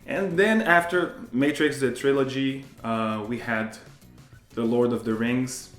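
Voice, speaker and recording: A man's voice: medium pitch, steady tone, unhurried, fairly bright tone, faint music behind. Brazilian man chatting in a roomy space. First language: Portuguese. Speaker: English teacher, interview guest. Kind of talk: casual conversational interview.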